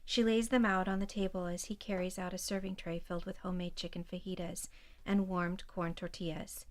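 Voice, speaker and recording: A woman's voice: fairly low pitch, little pitch movement, a little fast. A woman reading scripted narration as the narrator of an audio drama.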